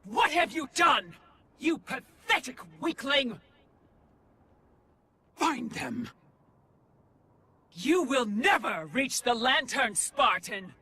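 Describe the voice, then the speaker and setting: An actress playing a commanding, contemptuous female Fury in a video game, speaking in an imperious, drawn-out way. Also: sits high, lively intonation, sharp tone, slightly rough, no echo, heavily acted. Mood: furious contempt. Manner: imperious, scornful, drawn-out delivery. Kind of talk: dramatic video game villain acting.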